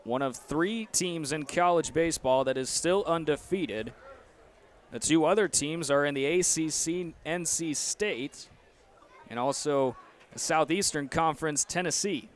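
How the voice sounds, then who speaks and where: A young man with an American accent calls a baseball game live. His voice sits somewhat high. He speaks into a headset mic as a play-by-play announcer. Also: unhurried, slight background noise. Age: young adult. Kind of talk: live sports commentary.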